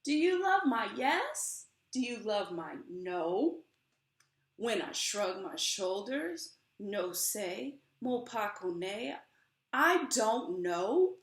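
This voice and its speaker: An American woman in middle age reads a picture book aloud in an expressive, theatrical way. She takes her time over each phrase, at a medium pitch. The sound is roomy, as if recorded indoors a little way from the microphone. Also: very slow. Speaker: children's book author. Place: small room indoors at home.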